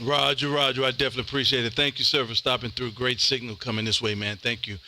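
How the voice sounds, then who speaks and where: A man's voice: mid-range voice, level tone, fast, heavy background noise. An American man hosting an amateur radio show, chatting on air in an exuberant, folksy, emphatic way. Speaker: ham radio show host.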